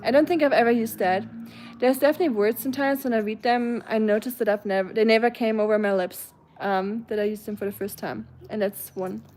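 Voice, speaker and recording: German woman, german accent, livestream host, chatty casual talk, fairly high voice, phrases trail off quietly. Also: steady tone, fairly quick, slight background noise. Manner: chatty and warm toward viewers, with frequent fillers and soft laughs. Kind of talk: casual chat, reading viewer comments aloud.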